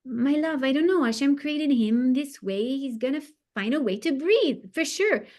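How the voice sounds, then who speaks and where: A woman's voice: high voice, animated, normal speed, a little mellow, pitched above the performer's own voice. Woman doing a squeamish doting mom. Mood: soothing. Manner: dismissive, soothing, full of fillers. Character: squeamish, doting mother of a small boy.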